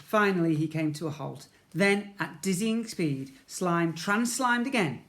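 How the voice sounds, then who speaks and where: A man's voice: high voice, lively intonation, a little slow, a bit bright. Man reading a children's story dramatically. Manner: dramatic, playful, with sound effects and emphatic repetition. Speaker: primary school teacher reading to children. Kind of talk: expressive children's storybook narration.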